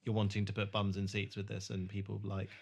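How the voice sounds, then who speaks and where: A man with a fairly low voice hosts a casual podcast conversation about film. He speaks tentatively, feeling his way through thoughts and putting questions to others. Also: completely flat delivery, a little fast, gravelly. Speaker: podcast host.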